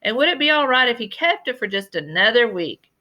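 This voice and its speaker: Woman voicing a bright, dramatic old lady librarian. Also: fairly high pitch, sing-song, pitched above the performer's own voice. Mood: playful, storytelling. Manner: dramatic, deliberate storyteller with emphatic repetitions. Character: sad, sighing, genteel old Southern lady librarian telling tales. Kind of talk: storybook character voice in a read-aloud.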